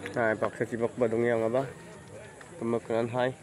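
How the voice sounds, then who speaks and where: Man, casual phone vlogger outdoors, medium pitch, easygoing chatter. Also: monotone, normal speed. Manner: chatty, greets people as he walks. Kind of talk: casual walking vlog. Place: outdoors at a rural fishing pond fair. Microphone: handheld phone camera.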